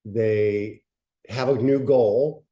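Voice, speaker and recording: A man presenting in an informal workshop, talking in a conversational, somewhat halting way. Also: lowish voice, steady tone, dark tone.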